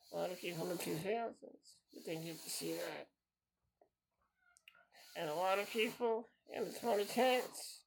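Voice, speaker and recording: A man's voice: high-pitched, gravelly, slight background noise, poor audio. A man in his thirties hosting a solo podcast, talking in an earnest, repetitive way and circling back to the same phrases. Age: 30s. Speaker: podcast host.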